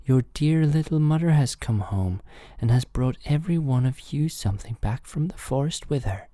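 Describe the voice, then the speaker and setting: A man's voice: mid-range voice, animated, normal speed, dark tone, no room sound, clean studio sound, pitched above the performer's own voice, natural voice. Man playing a sly wolf, raised wheedling voice. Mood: falsely sweet. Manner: wheedling, falsely sweet. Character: sly deceitful male wolf posing as a gentle mother.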